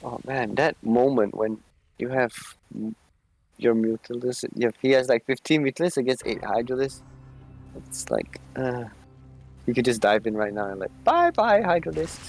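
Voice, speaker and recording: A man's voice: mid-range voice, lively intonation, normal speed, gravelly, a little mellow, faint music behind, rough recording. A young man co-casting a live strategy game match, playful and rambling, often trailing off mid-sentence. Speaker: esports co-caster.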